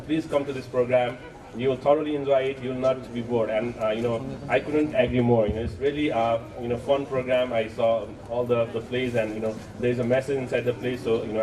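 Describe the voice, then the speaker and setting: A man with a Nepali accent giving an informal public speech as a guest, halting with frequent filled pauses. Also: mid-range voice. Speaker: guest speaker, organization representative. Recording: distant mic, crowd noise.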